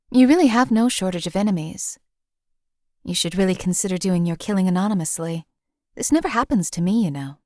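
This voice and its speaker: A woman plays a cold female assassin for hire in a video game, her voice pitched low and recorded close and dry. She speaks in a deadpan, measured way and keeps every feeling out of it. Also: dry recording, natural voice. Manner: deadpan, measured, emotionally flat. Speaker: game companion voice actor.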